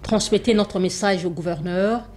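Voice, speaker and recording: A woman TV host speaks in a mid-pitched voice. She presses her guest with brisk, pointed questions and closes the show with thanks. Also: a little mellow, slight background noise. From Democratic Republic of the Congo. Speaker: TV interviewer. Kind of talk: TV news interview.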